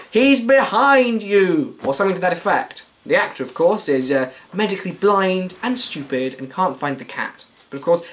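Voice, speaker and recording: A British man delivering a wordy, wry scripted comic monologue as a vlogger to his viewers. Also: a little high.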